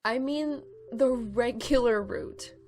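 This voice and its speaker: A young cartoon pony friend played by a woman, easygoing and a bit reluctant. Her voice is high. Soft music sits in the background. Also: slow pace. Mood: mildly wry. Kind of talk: animated fan drama voice acting.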